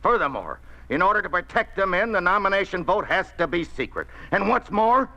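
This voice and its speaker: An actor playing a defiant, earnest union leader in a 1950s radio drama, argumentative and easily flustered. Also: male voice, high voice, very animated, a little fast, pushed and bright, lo-fi sound, heavily acted. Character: indignant, defiant male union leader, flustered when cornered.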